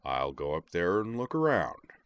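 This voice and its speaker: A man voicing a calm, level-headed male character in an audiobook, speaking in a mild, measured way. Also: sits low, clean tone, dry recording. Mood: equable.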